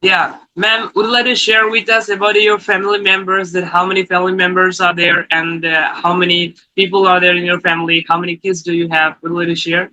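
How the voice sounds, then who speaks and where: A man hosting a video-call conversation in learner English, speaking slowly, carefully and politely. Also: high-pitched, flat delivery, bright, forceful tone, poor audio. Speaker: host.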